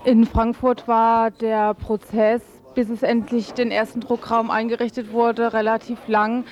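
A woman's voice: on the high side, flat delivery, a little slow, a little mellow. A woman radio interviewer putting plain, matter-of-fact questions, recorded with dull lo-fi sound.

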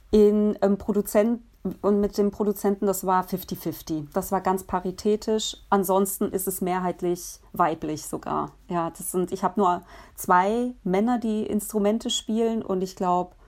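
A woman musician answering interview questions in a relaxed, thoughtful way, pausing often with fillers and restarting her sentences. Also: mid-range voice. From Germany. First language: Polish.

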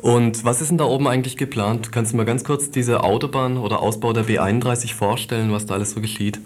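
A man's voice: medium pitch, fairly even intonation, fast talker. A man working as a radio interviewer, asking questions in an informal, familiar way.

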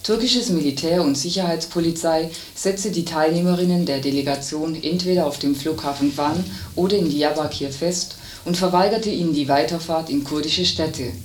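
A news reader delivering scripted radio news. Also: medium pitch. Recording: roomy, distant, hissy radio recording.

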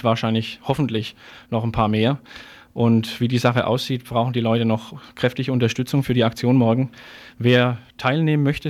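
Male radio presenter, mid-pitched, informal live news style, improvised announcements and interviews. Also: a little mellow.

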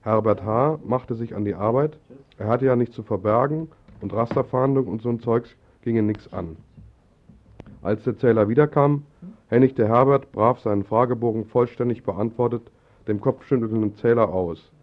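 A man's voice: mid-range voice, moderate pace. Male radio presenter on dull lo-fi tape. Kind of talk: spoken radio announcements and scripted satirical narration. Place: radio studio. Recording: dull lo-fi 1980s radio recording.

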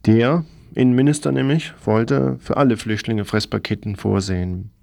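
A man's voice: on the low side, normal speed, gravelly, a little mellow, dry recording. A man presenting radio news from a script, with pointed, opinionated commentary in his delivery.

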